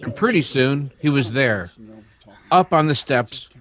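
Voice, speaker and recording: A man's voice: medium pitch, animated, measured pace, a bit bright. A man acting an earnest townsman who tells and plays out a western radio drama with dramatic feeling. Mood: grave, reflective. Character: earnest, reasonable townsman narrating a western tale.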